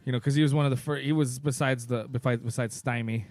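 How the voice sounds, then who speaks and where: A man's voice: mid-range voice, steady tone, fast, darkish tone, dry recording. A man co-hosting a podcast in casual, informal chat with some swearing. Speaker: podcast co-host.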